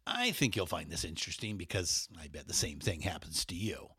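A man's voice: low voice, big pitch swings, a little fast, pushed and bright, husky, no room sound. A man reading a podcast ad as a chatty personal story, in a dry studio recording. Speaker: ad host. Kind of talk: host-read ad.

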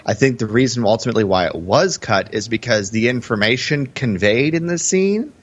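A man's voice: medium pitch, lively intonation, a little fast. A man co-hosting a podcast, giving casual analysis of a film. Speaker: podcast co-host. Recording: dull, lo-fi sound.

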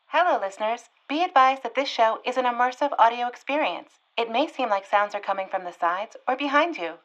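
A woman reading a scripted show announcement in a clear, measured tone. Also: a little high, expressive, a bit bright, highs cut off.

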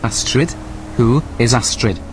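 A man playing an officious male artificial intelligence in an audio drama, speaking in formal, measured, precise sentences. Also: mid-range voice, a little hiss or hum, close to an everyday voice. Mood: bewildered. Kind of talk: scripted audio drama acting.

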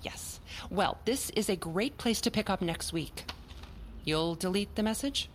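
Actress as a measured, coaxing therapist. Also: female voice, mid-range voice, expressive, slight background noise, natural voice. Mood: reassuring. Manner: clinical, coaxing, deliberate. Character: measured, insistent female therapist, primly positive. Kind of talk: naturalistic audio drama acting.

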